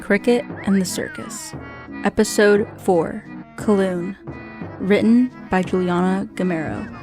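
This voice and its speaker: Measured woman narrating a radio drama. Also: mid-range voice, huge pitch swings, a little mellow, faint music behind. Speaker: narrator and announcer.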